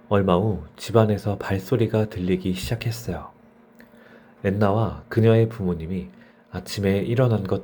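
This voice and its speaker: A man's voice: deep voice, mellow, a little hiss or hum. A man narrating a bedtime story for children as a storyteller.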